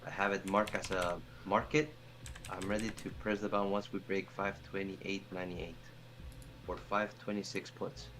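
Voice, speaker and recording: A man's voice: flat delivery, normal speed. A young man with a Greek accent talking in a trading voice chat, eager and stumbling over his words. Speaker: trader in voice chat. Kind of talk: casual chat. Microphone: voice chat mic. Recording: noisy rumbling voice chat line.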